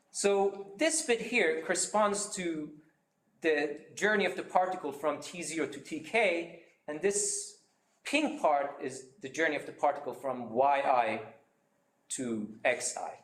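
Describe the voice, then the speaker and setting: Man presenting a research seminar, slightly high voice, careful and hesitant, roomy echo. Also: lively intonation, slow pace. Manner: careful, hesitant explaining with many fillers. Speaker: seminar speaker. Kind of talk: academic seminar talk.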